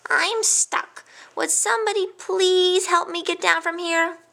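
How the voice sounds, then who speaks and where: Woman as an earnest, high-voiced little storybook pig. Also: big pitch swings, unhurried, gravelly, bright, forceful tone, heavily acted. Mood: pleading. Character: cheerful, naive young pig, male.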